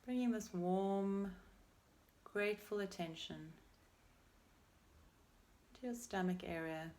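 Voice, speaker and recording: A woman leading a guided meditation as a teacher, speaking in a gentle, unhurried and soothing way with pauses between phrases. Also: mid-range voice. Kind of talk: guided meditation, body scan.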